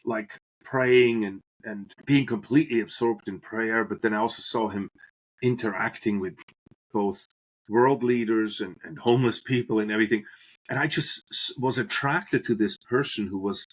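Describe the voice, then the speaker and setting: Middle-aged Swiss-born man, low-pitched, earnest podcast guest recounting his life story. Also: normal speed, rough recording. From Switzerland. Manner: earnest, restarts phrases while searching for words. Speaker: guest, business professor. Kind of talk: podcast interview, reflective storytelling.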